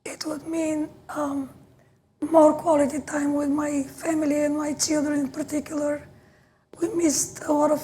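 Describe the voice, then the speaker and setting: Bulgarian-native woman on a panel, high flat soft voice, slow and measured. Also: a little hiss or hum, low-quality recording. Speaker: patient panelist.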